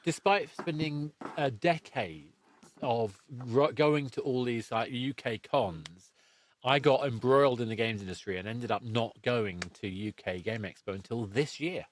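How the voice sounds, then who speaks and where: A man's voice: medium pitch, measured pace, a little hiss or hum. A middle-aged man hosting a casual interview, chatty and rambling, often rephrasing mid-sentence. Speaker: podcast host.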